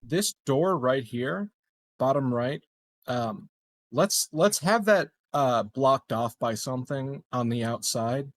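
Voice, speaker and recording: A man playing a tabletop game, casually thinking aloud in a halting way. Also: medium pitch, lively intonation. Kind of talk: casual planning discussion.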